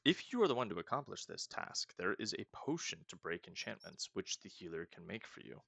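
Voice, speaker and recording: A man voicing a solemn, stately female forest spirit from a fantasy game, speaking slowly, gravely and formally. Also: low voice, big pitch swings, a bit bright, no echo, exaggerated voice. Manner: slow, grave, formal prophetic delivery.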